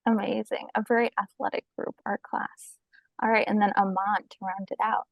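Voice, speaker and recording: A woman's voice: mid-range voice, little pitch movement, normal speed, vocal fry, no echo, low-quality recording. A young woman moderating a student panel over a video call, explaining things in an organized way. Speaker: panel host. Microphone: video call microphone.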